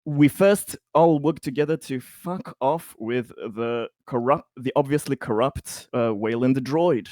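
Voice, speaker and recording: Halting man with a mid-pitched voice. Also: a little mellow, no echo, clean studio sound. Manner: hesitant, halting phrasing. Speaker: tabletop game player. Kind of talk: casual in-game discussion.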